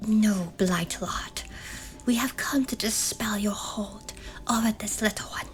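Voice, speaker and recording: A woman playing a gentle, protective shamaness in a fantasy ASMR roleplay, in a breathy whisper, pleading yet resolute. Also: mid-range voice, a little slow, soft background music, natural voice.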